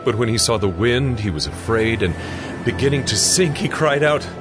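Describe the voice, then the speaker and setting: A man's voice: mid-range voice, animated, normal speed. A man giving a dramatic scripted scripture narration. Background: music.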